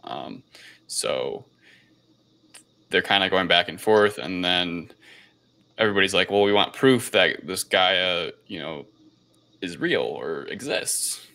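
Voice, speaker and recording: A man's voice: low-pitched, lively intonation, takes it slowly, vocal fry, long pauses, pushed and bright. A man hosting a podcast, recapping a film in casual, loose, informal conversation. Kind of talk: casual film review chat.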